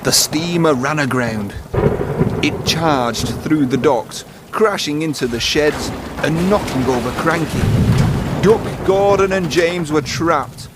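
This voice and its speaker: A man's voice: on the high side, slow, very noisy. A man narrating a children's storybook, reading aloud.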